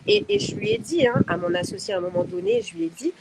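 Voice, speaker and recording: A lively woman guest on a live chat, full of expressive interjections. Her voice is fairly high, and it comes through heavy noise on the live-stream audio. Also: quick pace, vocal fry.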